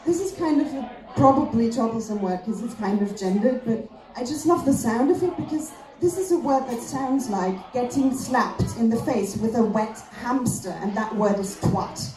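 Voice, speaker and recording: An echoey live recording of a woman comedian on stage. She from her German and a fairly high, soft-edged voice. Her delivery is conversational and self-deprecating, with halting pauses. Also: soft background music. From Austria. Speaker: stand-up comedian. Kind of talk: live stand-up comedy routine.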